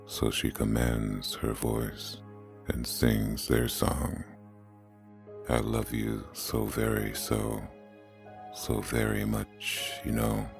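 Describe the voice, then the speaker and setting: An actor playing a solemn male reciter, reading love poetry in a measured way for an audio drama. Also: very deep voice, creaky, full character voice.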